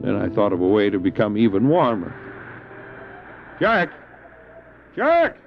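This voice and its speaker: Male actor for devout, resolute doctor lost with his dog team; theatrical delivery with wide swings and gravelly edge, lo-fi cassette radio drama. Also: fairly low pitch, wildly swooping pitch, full character voice. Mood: tender. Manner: earnest, theatrical, halting when speaking in character. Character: stranded doctor and dog sledder, anguished but resolute, devout. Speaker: narrator and lead actor. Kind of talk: dramatized first-person adventure narration, audio drama. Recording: dull, lo-fi old cassette drama with music.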